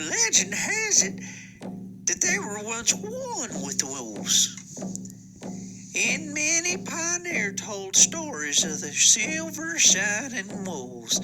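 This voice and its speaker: A man's voice: extremely high pitch, noisy background, exaggerated voice. A man voicing a rambling old frontier codger who narrates in an old-time radio western, with a wheezy, cackling drawl and a folksy, stumbling way of talking. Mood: earnest, sorrowful. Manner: rambling and folksy, trips over his words.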